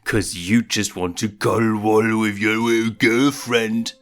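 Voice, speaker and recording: A man's voice: lowish voice, steady tone, measured pace, natural voice. Man playing a dry, mocking young lion cub. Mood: teasing. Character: sly, mocking young male lion cub, easily irritated.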